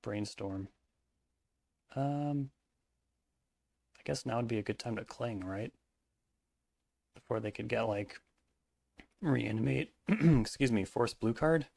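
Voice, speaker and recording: A man casually commentating his own card game match, thinking aloud in mumbled asides, his voice hoarse and cracking at times. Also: medium pitch, slow, long pauses, a little mellow.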